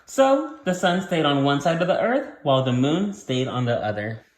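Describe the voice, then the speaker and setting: A man's voice: on the high side, sing-song, moderate pace, reverberant. A man reading a story aloud to young children as their teacher, explaining things simply and repeating key points.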